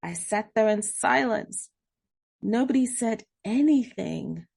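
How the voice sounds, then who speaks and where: A woman narrating a children's storybook, reading expressively with drawn-out emphasis and dramatic pauses. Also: a little high, expressive, gravelly, darkish tone, breathy edge. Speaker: read-aloud host narrating children's books. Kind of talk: scripted children's storybook narration in first person.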